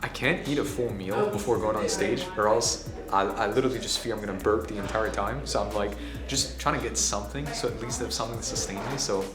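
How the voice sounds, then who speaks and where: Brief: hoarse, low-voiced man, casual vlogger, echoey room. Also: fast, music in the background. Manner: casual, swears, talks softly to spare his voice. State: hoarse, recovering from voice loss. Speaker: ads agency owner hosting a workshop. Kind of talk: casual vlog talk to camera.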